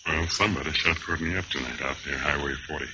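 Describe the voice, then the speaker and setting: A man's voice: extremely low pitch, quick pace, sharp tone, full character voice. A man playing a nervous, wary speakeasy piano player in a radio crime drama, jittery and confiding. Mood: uneasy. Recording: dull, noisy old radio recording.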